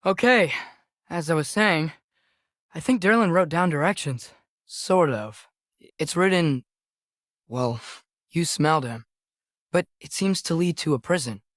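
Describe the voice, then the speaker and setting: A man's voice: on the high side, lively intonation, a little slow, long pauses, fairly bright tone, dry recording. A young male actor voicing an earnest, curious teenage boy adventurer in a video game, tentative but eager in a naturalistic style, recorded dry and close.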